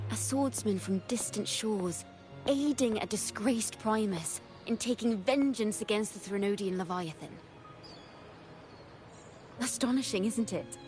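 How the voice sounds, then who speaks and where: An actress voicing a poised, knowing young woman adventurer who recounts events in measured, encouraging video game dialogue. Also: mid-range voice, lightly acted. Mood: intrigued. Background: game music.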